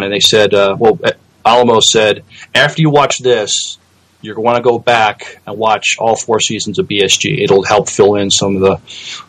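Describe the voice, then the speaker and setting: A man with a fairly low voice chats as a podcast co-host. His delivery is casual and halting, with frequent stops while he searches for the next word. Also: fairly bright tone, poor audio.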